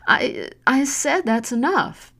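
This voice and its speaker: A woman's voice: mid-range voice, takes it slowly, vocal fry. A woman voicing a frightened young daughter who pleads in a trembling voice in a storybook reading. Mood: pleading, tearful. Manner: trembling, pleading, then flat monotone. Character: frightened young daughter, girl, turning cold and defiant. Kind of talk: audiobook narration.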